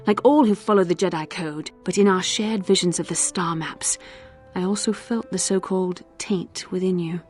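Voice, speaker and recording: An actress playing a proud, seductive fallen Jedi in a video game, speaking with intense, deliberate delivery. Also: female voice, medium pitch, a little mellow, lightly acted. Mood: bitter, insistent, then dark, intimate. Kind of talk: dramatic video game acting.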